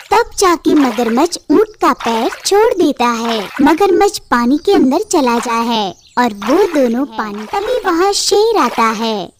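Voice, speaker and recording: A woman's voice: high voice, noisy background. A woman narrating a scripted animal story for children as a storyteller.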